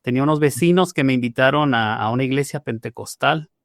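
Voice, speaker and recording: A man's voice: mid-range voice, fast talker, creaky, dry recording, studio quality. A man from Mexico, a pastor telling his conversion story in a podcast interview, speaking with frequent "eh" fillers and restarts. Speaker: pastor, guest.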